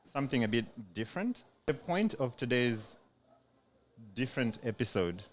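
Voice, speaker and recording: A man's voice: mid-range voice, very animated, normal speed. Easygoing male podcast co-host. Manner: easygoing, with frequent ums and repeated words. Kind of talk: casual podcast chat. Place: community centre building, on location.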